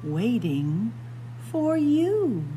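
A woman hosting a story time, reading a picture book to young children in a sing-song way with drawn-out phrases and dramatic pauses. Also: on the high side, very animated, mellow, a little hiss or hum. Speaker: library story time host. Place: indoors among library bookshelves.

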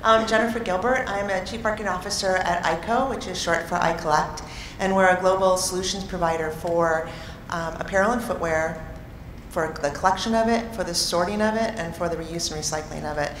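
A woman's voice: on the low side, fairly quick, a little hiss or hum. A woman speaking on a panel in a businesslike way. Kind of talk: panel discussion. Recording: echoey hall with long reverb.

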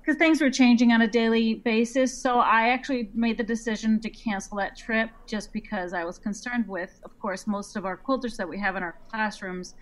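A woman from Iceland, a guest being interviewed, talking casually with frequent restarts and a quick laugh. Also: fairly high pitch, rough recording. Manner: chatty, quick to laugh, restarts her sentences. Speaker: guest quilt designer.

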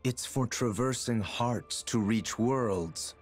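An actor playing a cold, menacing young man who lectures slowly and condescendingly. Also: medium pitch, faint music behind. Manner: slow, condescending warnings.

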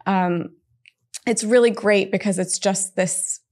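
A woman's voice: medium pitch, steady tone, slow, darkish tone. A woman in her thirties, born in Israel, talking enthusiastically as a guest chiropractor in a small studio at a desk mic, with many fillers and restarts. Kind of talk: casual interview. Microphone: desk mic on an arm.

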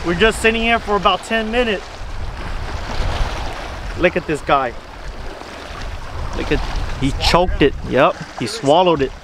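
A man's voice: high-pitched, unhurried, long pauses. A man, chatting casually and slangily as a fishing vlogger into a handheld camera outdoors. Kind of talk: casual vlog chat. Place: outdoors on a windy rocky lakeshore. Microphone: handheld camera at arm's length. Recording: windy outdoor camera audio, faint noise.

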